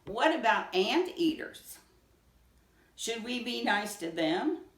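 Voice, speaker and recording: A woman's voice: fairly high pitch, big pitch swings, slow pace, reverberant, far from the mic. A woman voicing an earnest, apologetic young male mouse in a storybook, with a naive, questioning way of talking. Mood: puzzled, curious.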